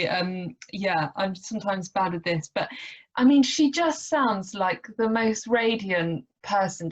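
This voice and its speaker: A woman's voice: medium pitch, highs cut off. A woman hosting an online interview, speaking in an effusive, enthusiastic way over a video call. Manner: warm, effusive, enthusiastic praise. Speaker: host.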